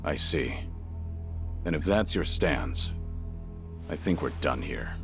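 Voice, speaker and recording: An actor playing a grave but gentle aging yakuza legend in a game cutscene, low and gravelly, speaking with measured restraint. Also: male voice, animated, over music, heavily acted. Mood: firm, guarded. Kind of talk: video game cutscene acting.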